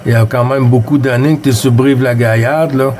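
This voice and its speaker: A man from Quebec with a Québécois French accent talks on the CB radio. His voice sits at a middle pitch. He is friendly and easygoing, and he reads out call signs and signal reports between bits of casual chat. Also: steady tone, a little fast, darkish tone. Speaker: CB radio operator.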